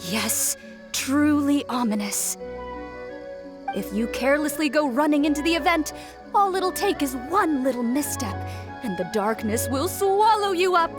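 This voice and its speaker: A high-voiced, ominous shrine maiden. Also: female voice, expressive, unhurried. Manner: cryptic, foreboding, theatrical. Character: ominous, theatrical young female shrine maiden, sly. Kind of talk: video game voice acting. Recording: faint background music.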